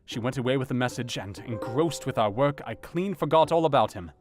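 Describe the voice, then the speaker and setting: Earnest doctor-narrator male actor, dramatic, formal audiobook delivery, medium pitch, light music underneath. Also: lively intonation, fairly quick, natural voice. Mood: strained. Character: earnest male doctor recounting a grim night.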